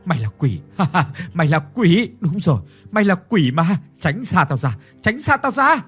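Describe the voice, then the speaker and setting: A man's voice: on the high side, expressive, measured pace, breathy edge, heavily acted. A man putting on a shrieking, panicked village wife. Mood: hysterical. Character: terrified hysterical middle-aged village wife.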